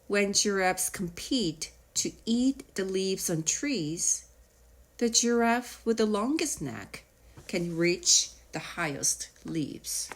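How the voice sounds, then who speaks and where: A woman narrating a children's nonfiction audiobook in a measured, explanatory way with deliberate pauses. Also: medium pitch, expressive. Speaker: audiobook reader.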